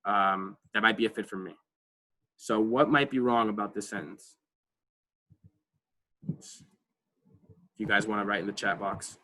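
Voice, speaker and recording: A man's voice: on the low side, fairly even intonation, unhurried, long pauses, sharp tone. A man presenting a college advising workshop over a video call, talking casually with many fillers and checking in with "right?". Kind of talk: online class presentation.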